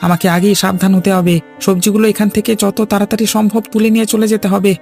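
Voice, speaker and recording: A woman acting as a feisty cartoon village farmer woman who rattles off her words with a gravelly creak. She is sharp-tongued and stands her ground, stressing her points like a born scolder. Music plays underneath. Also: medium pitch, steady tone, fast talker, natural voice. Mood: suspicious, wary. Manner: scolding, argumentative, emphatic. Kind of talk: Bengali cartoon dialogue.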